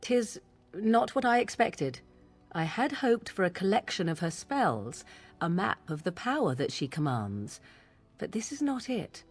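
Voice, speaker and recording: An actress playing a proud, troubled young witch in a fantasy game, with formal, archaic, deliberate phrasing. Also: medium pitch, big pitch swings, slightly rough, faint music behind. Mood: measured, let down.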